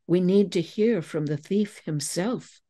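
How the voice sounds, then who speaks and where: A woman's voice: lowish voice, unhurried, mellow. A woman voicing a proud, composed lady investigator in a storybook, speaking formally and with poise. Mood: firm, questioning.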